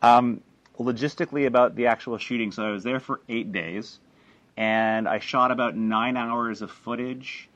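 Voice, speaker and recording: A man's voice: medium pitch, steady tone, normal speed, a bit bright, dry recording. A man, a filmmaker, telling an audience about making his documentary in a rambling way full of restarts and fillers. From Canada. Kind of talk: talk to an audience after a screening. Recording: dull lo-fi recording.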